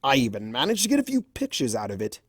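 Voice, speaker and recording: Narrator doing smug theatrical male chimera trickster, teasing, storybook read, pitch all over the place, drags out words. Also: huge pitch swings, slightly rough, no echo, clean studio sound. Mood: gleeful. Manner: theatrical, teasing, drawn-out emphasis. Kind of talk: storybook character voicing.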